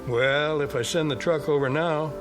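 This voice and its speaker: A man playing a laid-back small-town garage mechanic in a radio drama, folksy and unhurried. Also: a little high, steady tone, music in the background, close to an everyday voice. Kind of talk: radio drama character dialogue.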